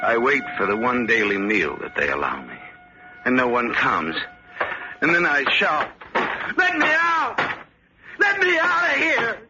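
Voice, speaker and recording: An actor playing a frightened, guilt-ridden sailor who stammers in scenes and narrates slowly and gravely, in a 1940s radio drama. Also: male voice, mid-range voice, sing-song. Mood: solemn. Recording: dull lo-fi old radio recording with music.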